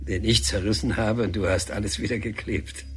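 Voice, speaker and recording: A man's voice: fairly low pitch, steady tone, husky. Soothing fatherly painter. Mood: gently knowing. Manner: soothing, unhurried, colloquial. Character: calm, fatherly older painter, gently soothing a boy. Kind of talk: German radio drama acting.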